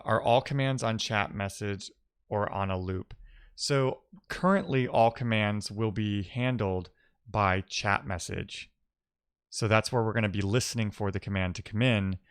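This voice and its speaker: Male tech streamer, smooth low voice, casual and explanatory, thinks out loud. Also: no room sound, clean studio sound. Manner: musing, explanatory, poses questions to himself. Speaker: live-coding streamer. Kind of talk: casual technical talk, thinking aloud.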